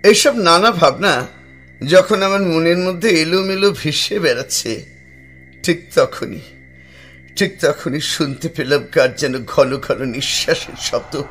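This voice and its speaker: A man playing a brooding young poet who narrates a ghost story in an audio drama, measured and pausing for suspense. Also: fairly high pitch, a bit bright. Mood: uneasy, suspenseful. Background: music.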